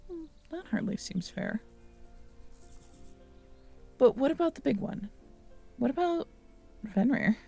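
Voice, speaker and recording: A woman acting a caring, teasing, curious young girlfriend in an intimate roleplay audio drama, talking softly and conversationally to the listener. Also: high voice, lively intonation, slow, creaky, long pauses, slightly rough, faint music behind, exaggerated voice. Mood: astonished, excited. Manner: soft, intimate, conversational, playful.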